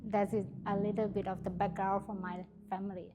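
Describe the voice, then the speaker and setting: A young Thai woman from the Northeast, telling her life story in a casual interview, in halting English with a Thai accent and many fillers. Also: mid-range voice, flat delivery, dull audio, heavy background noise. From Thailand, Isan region. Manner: hesitant, many fillers, simple grammar. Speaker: interview guest sharing her testimony.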